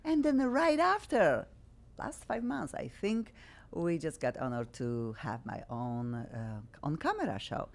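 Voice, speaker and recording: This is a middle-aged woman from Poland, speaking with a Polish accent as she hosts a talk show. Her voice sits low but leaps around with lots of melody. She talks in an effusive, bubbly way. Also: measured pace. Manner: effusive, bubbly, animated, frequent laughs. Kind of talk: excitable talk show chat.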